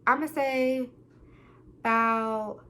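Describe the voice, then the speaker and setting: A young woman telling a story to the camera, talking loudly and acting out what people said. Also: a little high, drawn-out words. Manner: loud, animated, quotes people in different voices. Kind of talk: casual storytime monologue.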